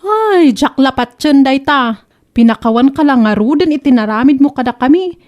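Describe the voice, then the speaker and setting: An actress playing an indignant, sharp-tongued woman who reproaches and taunts a gossip in a comedy radio drama. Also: high-pitched, animated, moderate pace, dull audio. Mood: indignant, taunting.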